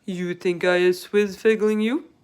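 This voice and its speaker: A woman's voice: mid-range voice, steady tone, a little slow, darkish tone, clear, resonant tone, natural voice. A woman voicing a big, friendly, earnest male giant in a storybook, speaking emphatically in quaint, muddled grammar. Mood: offended. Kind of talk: storybook read-aloud character voice.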